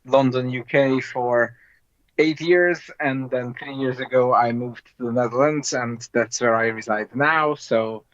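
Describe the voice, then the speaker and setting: A man's voice: medium pitch, steady tone, unhurried, fairly bright tone. Dull lo-fi call, Hungarian-accented man. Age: 30s. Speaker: guest, board game designer. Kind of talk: casual interview chat. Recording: dull, lo-fi online call audio.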